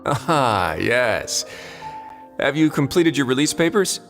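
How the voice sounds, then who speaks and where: An actor playing a composed, wry prison warden duke in a video game, speaking in a measured, dryly teasing way. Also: male voice, medium pitch, sing-song. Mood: matter-of-fact. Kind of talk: video game character acting. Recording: long reverb, music behind.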